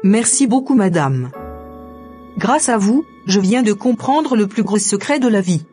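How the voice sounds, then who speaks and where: A woman acting a devout young woman in a cartoon drama, earnest and trusting. Music plays under her scripted dialogue, and her pitch sits at an ordinary height for a woman. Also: lively intonation, unhurried, mellow, close to an everyday voice. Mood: grateful.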